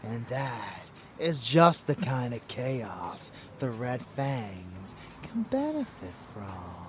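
A man's voice: medium pitch, sing-song, slow pace, fairly bright tone, slight background noise. A man playing a cocky, murderous vampire lover in a roleplay audio drama, intimate, drawling and menacing, doting on the listener. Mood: intimate, scheming.

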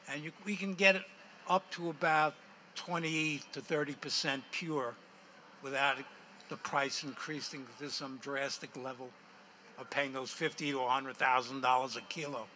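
An older man pitching a product to a panel and answering their questions in a technical, matter-of-fact way, speaking into a handheld mic in a large hall. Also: fairly even intonation, moderate pace, bright, forceful tone, slight background noise. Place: large hall with stage, indoors.

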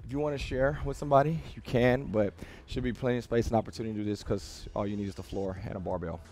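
A man's voice: lowish voice, quick pace, darkish tone, slight background noise. A man in his thirties coaching a workout to camera in a casual, direct, motivational way, recorded in a small gym. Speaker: fitness coach. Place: small home-style gym with rubber floor, indoors.

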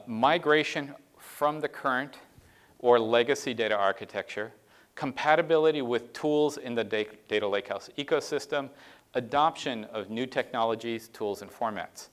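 Middle-aged man giving a conference keynote. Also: mid-range voice. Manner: conversational, explanatory, frequent "right?" and "um". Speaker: keynote speaker. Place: conference stage in a large dark room.

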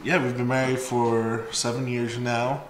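A man's voice: medium pitch, flat delivery, unhurried. A man of twenty-nine from Hawaii, chatting casually at home in short, plain answers. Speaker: vlogger. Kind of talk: casual chat. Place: bright home kitchen, indoors. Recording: echoey room with faint noise.

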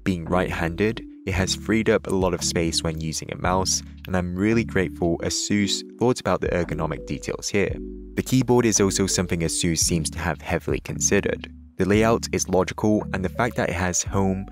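A man narrating a scripted tech review in a measured, even way. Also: low-pitched.

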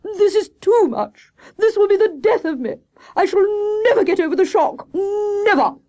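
A woman voicing a vain, melodramatic, excitable male hare in a children's story, with a theatrical, indignant, wailing manner. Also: a little high, moderate pace, voice pushed up. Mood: wailing despair.

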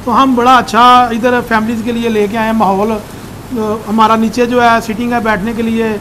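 A man's voice: very high voice, little pitch movement, moderate pace, fairly bright tone, poor audio. A man, giving a casual interview as a restaurateur and often repeating his words. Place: indoor restaurant seating area. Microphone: clip-on lapel mic. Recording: clear background ambient noise.

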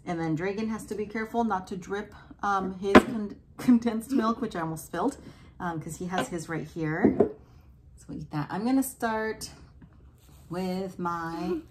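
A woman in her thirties chatting softly and casually while eating on a home food vlog. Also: mid-range voice, normal speed, heavy background noise. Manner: soft, relaxed, savoring food between remarks. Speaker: food vlogger. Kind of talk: casual mukbang chat. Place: small indoor room at a table.

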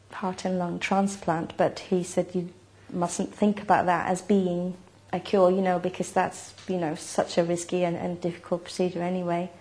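Mellow-voiced Icelandic woman, even delivery. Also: fairly low pitch. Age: 30s. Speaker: mother telling her family's story. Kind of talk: personal interview account. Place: home kitchen, indoors.

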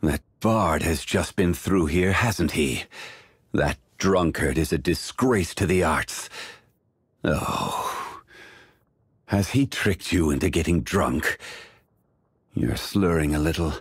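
An ancient, courteous male sage from a video game, with a low voice that is slightly husky. He speaks slowly and formally, calm and contemplative in every phrase. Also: no room sound, studio quality, full character voice. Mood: mildly disapproving. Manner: measured, formal, contemplative delivery. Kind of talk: scripted video game character lines. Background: background music.

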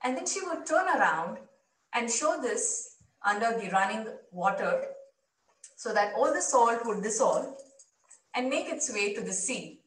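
A woman tells a personal story in slow, deliberate phrases. The delivery is reflective and measured, picked up by a distant camera microphone in a clearly echoing space. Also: on the high side, big pitch swings, a bit bright. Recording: roomy, distant microphone via video playback.

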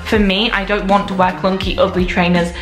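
A woman's voice: medium pitch, flat delivery, brisk. A young woman talks straight to the camera in a casual product review, bubbly and gushing, full of "like" and "so". Her voice sits in a medium register. The room is echoey, with faint music underneath. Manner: enthusiastic, gushing, lots of "like" and "so". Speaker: lifestyle vlogger. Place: indoor room at home. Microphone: camera at desk distance.

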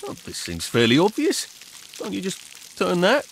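A man's voice: high voice, huge pitch swings, normal speed, gravelly, fairly bright tone, a little hiss or hum, full character voice, rough recording. An actor playing a polite, self-doubting young man in a video game, hesitant and wryly muttering to himself. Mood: defensive, breezy. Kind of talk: video game character dialogue.

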